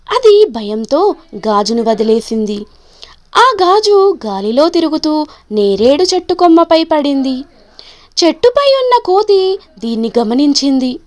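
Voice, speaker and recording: A woman narrating a scripted children's story in an expressive, measured storytelling manner. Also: high-pitched.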